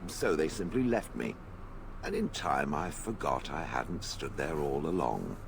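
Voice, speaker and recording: An actor playing a sardonic stone golem from a fantasy video game, haughty and contemptuous of people. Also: male voice, a bass voice, animated, creaky, background noise, full character voice. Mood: bitter, dry. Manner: haughty, sardonic, deliberate phrasing.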